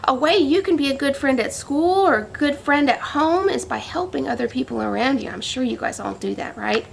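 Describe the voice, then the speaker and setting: A woman's voice: high voice, lively intonation, fairly quick, vocal fry, a little hiss or hum. A woman speaking to young children in simple, teacherly language with questions, as in a storybook read-aloud or farm show-and-tell.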